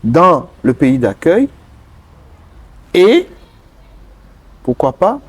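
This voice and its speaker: A man from Togo, answering interview questions earnestly and pausing often mid-sentence to find his words. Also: a little high, sing-song, slightly rough. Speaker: interview guest, politician.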